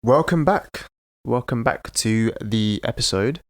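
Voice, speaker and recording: A man co-hosting a casual podcast while sick with a cold. He speaks at a medium pitch, hesitant and full of fillers and restarts. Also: lively intonation, measured pace, faint music behind. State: ill, with a cold. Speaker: podcast co-host. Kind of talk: casual chat.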